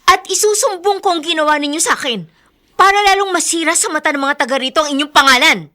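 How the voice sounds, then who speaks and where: High-voiced, defiant housemaid. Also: female voice, fast, sharp tone. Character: defiant, principled young household maid standing her ground. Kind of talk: Tagalog radio drama acting.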